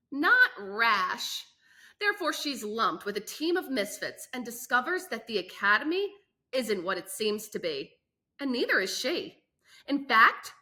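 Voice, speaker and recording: Bright-voiced woman reading a story aloud. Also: fairly high pitch. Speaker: book channel host reading aloud. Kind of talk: expressive children's book read-aloud.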